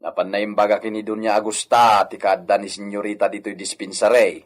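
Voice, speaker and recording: A man's voice: fairly low pitch, flat delivery, fairly quick, close to an everyday voice. A man acting a kindly, grateful male household worker in an Ilocano radio drama. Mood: concerned.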